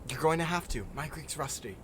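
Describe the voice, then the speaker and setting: A man's voice: fairly high pitch, animated, brisk, a bit bright, a little hiss or hum. A man playing a dry-witted, guarded scholar of prophecies in an audio drama, speaking in short, natural replies. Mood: flustered. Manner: terse, dry, clipped replies.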